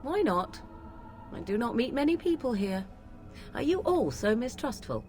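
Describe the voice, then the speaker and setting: Casting: aloof young witch, haughty, old-fashioned phrasing, animated melody, fantasy game. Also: fairly high pitch, moderate pace, over music. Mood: cool, teasing. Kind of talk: fantasy video game acting.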